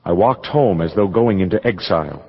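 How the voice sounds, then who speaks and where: A man's voice: low voice, expressive, normal speed, husky, dry recording, full character voice. An actor playing a privileged young dreamer who tells his story in intense, ornate radio drama narration. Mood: brooding, yearning. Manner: ornate, intense, poetic delivery. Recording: dull lo-fi audio under loud music.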